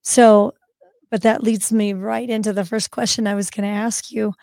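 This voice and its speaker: Hoarse woman co-host, flat delivery. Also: mid-range voice, no room sound. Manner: halting questions with many ums. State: hoarse, recovering from lost voice. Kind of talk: casual podcast chat.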